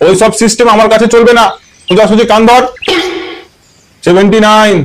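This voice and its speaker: A man's voice: high-pitched, fairly even intonation, a little fast, crowd noise, natural voice, rough recording. A man voicing a stern cartoon schoolteacher who scolds, threatens and mocks in a sarcastic manner. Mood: stern, threatening. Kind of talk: amateur cartoon comedy dub.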